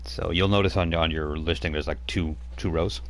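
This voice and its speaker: A man's voice: very deep voice, steady tone, normal speed. A man running a tabletop role-playing game, narrating scenes and patiently explaining rules. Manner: explains rules patiently, stops to check notes. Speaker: game master. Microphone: streaming mic.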